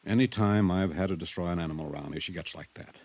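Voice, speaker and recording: A man's voice: low voice, quick pace, no room sound. An actor playing a middle-aged American ranch owner, a curt, irritable, combative father, in a 1950s radio drama. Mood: subdued. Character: gruff, defensive, hot-tempered ranch owner and stern father. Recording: dull, dry vintage radio recording.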